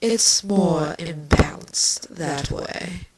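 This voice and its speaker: A woman voicing a sardonic, villainous creature queen in a fan story reading, speaking in a dry, taunting way with a breathy edge. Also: low voice, drawn-out words, a little mellow, heavily acted. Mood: dry sarcasm. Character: sardonic villainous female insect-like changeling queen. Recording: faint noise and clicks.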